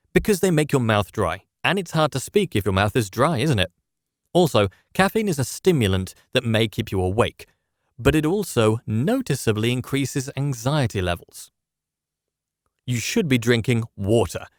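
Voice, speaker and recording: A man presenting scripted instructional advice, speaking in a measured, explanatory way straight to the listener. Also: medium pitch, dry recording, clean studio sound. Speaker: presenter of public speaking lessons.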